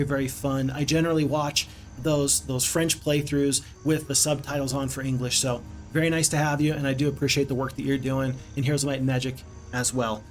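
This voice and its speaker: A man's voice: on the high side, rough recording. A man casually commenting on his strategy game stream, rambling and chatting to viewers. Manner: chatty, rambling, reads viewer chat aloud.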